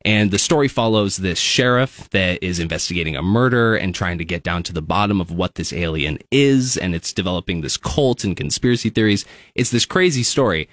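Low-voiced young Midwestern reporter. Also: male voice, expressive, brisk, hardly any pauses, fairly bright tone, no room sound, clean studio sound. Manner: chatty, upbeat storytelling. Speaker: news reporter. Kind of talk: casual studio interview. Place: radio studio.

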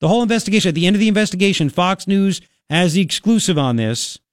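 A man's voice: high-pitched, lively intonation, fast, no room sound, studio quality. A man with a Long Island, New York accent hosting opinionated political talk radio, emphatic and colloquial, repeating phrases for effect.

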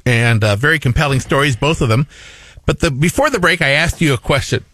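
A man's voice: a little high, expressive, a little fast, pushed and bright, no echo. Middle-aged man hosting radio talk. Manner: earnest, rambling with many restarts and fillers. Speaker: radio talk show host. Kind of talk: radio talk show interview. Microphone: studio microphone.

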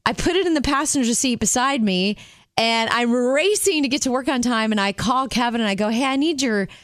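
A woman co-hosting a radio show, telling a story in an animated way and acting out the quotes. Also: a little high, brisk, dry recording. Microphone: studio microphone.

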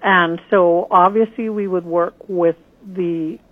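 This is a middle-aged woman from northern Canada, speaking as a candidate in a radio interview. Her voice sits slightly low and a bit husky. She talks earnestly and plainly and often restarts her phrases. The sound is narrow and tinny, like a phone call. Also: fairly even intonation, slow pace. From northern British Columbia, Canada. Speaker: political candidate.

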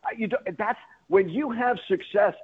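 A man with a fairly high, rough-edged voice gives emphatic sports talk as a radio guest. He circles back and rephrases his points as he goes. The sound is a little dull and lo-fi. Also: moderate pace. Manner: emphatic, repeats and rephrases himself.

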